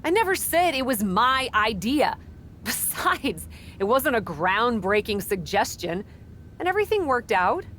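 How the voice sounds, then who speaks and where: A cocky, flippant young wrestler. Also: female voice, a little high, big pitch swings, measured pace, a bit bright, slight background noise. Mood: breezy. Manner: breezy, flippant and defensive. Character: cocky young female wrestler, number one contender. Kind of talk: game cutscene dialogue.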